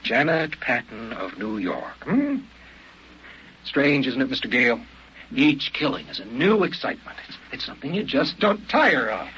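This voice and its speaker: A man's voice: medium pitch, sing-song, moderate pace, a bit bright. An actor playing a polite, brooding, experienced killer in an old-time radio drama, hesitant and musing as he talks. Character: seasoned licensed killer, polite, hesitant, quietly brooding man. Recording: muffled, noisy old radio recording.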